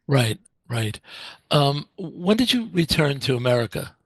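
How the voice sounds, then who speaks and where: A man's voice: medium pitch, big pitch swings, unhurried, no echo. A man hosting a podcast interview, hesitant and repeating his words as he asks questions. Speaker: podcast host.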